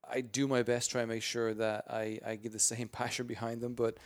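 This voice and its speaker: A man with a booming voice answering interview questions as a spokesperson, energetic and rambling with many restarts. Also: brisk. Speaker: game franchise spokesperson.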